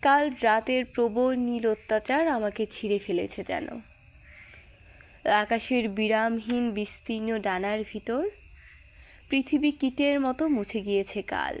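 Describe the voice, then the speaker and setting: A woman performing a dramatic poetry recitation, delivering it phrase by phrase in a measured, expressive manner. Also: a little high.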